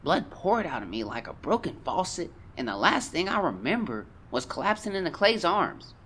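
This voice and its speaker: A man's voice: on the high side, very animated, normal speed, a bit bright, husky, heavily acted. A man playing a haunted teenage boy explorer, solemnly and theatrically dictating a fearful journal in an audio drama. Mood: tense, haunted. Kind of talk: dramatic first-person journal narration.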